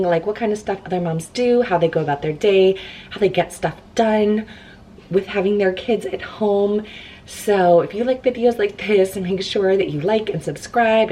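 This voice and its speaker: A young woman with a hoarse, laryngitis-roughened voice, chatting upbeat and casual as a mom vlogger at home. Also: mid-range voice, darkish tone, slight background noise. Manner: chatty, upbeat, laughs between phrases. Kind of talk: casual day-in-the-life vlog talk. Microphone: handheld camera.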